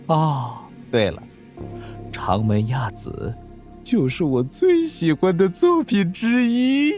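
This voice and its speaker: 一名男演员在动画剧中饰演阴险残忍的疯狂科学家反派，说话夸张拖腔，带着戏谑的嘲弄。. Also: male voice, fairly high pitch, big pitch swings, darkish tone, soft background music. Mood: mocking amusement. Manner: theatrical, drawn-out taunting with cackles. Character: sinister sadistic mad scientist villain, gleeful and mocking. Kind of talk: animated audio drama acting.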